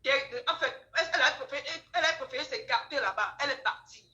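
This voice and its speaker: A woman with a Cameroonian French accent telling gossip casually, in an emphatic, animated way, repeating phrases and acting out dialogue. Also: high voice, fast talker, lo-fi sound. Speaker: guest telling her account. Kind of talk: casual spoken storytelling, gossip. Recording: roomy, echoing sound.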